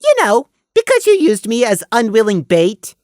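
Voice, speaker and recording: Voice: mid-range voice, wildly swooping pitch, normal speed, darkish tone, no room sound, studio quality, pitched above the performer's own voice. A narrator voicing a small, secretive male lamb with a sardonic, complaining manner. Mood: indignant, sarcastic.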